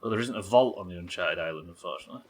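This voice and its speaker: A man's voice: low voice, racing, slightly rough. A man doing casual livestream game commentary, thinking aloud, questioning himself and swearing now and then. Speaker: live game streamer.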